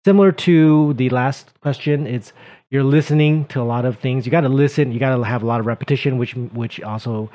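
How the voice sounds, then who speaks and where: A man from Taiwan co-hosts a casual talk about learning English. He speaks in a relaxed, easygoing way, with many fillers. His voice sits at an ordinary middle pitch. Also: brisk. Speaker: co-host, English teacher. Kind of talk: casual advice chat.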